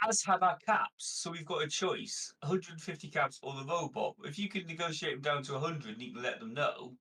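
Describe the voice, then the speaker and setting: A man's voice: a little high, fairly quick, sharp tone, breathy edge, low-quality recording. A man playing a tabletop game, discussing options with the group in casual talk.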